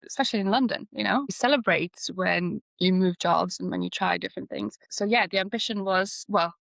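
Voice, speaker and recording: A woman's voice: mid-range voice, brisk, gravelly, fairly bright tone, no echo. A woman in her thirties from Slovenia, chatting as an interview guest, rambling with frequent restarts. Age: 30s. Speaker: architect, guest. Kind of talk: conversational interview.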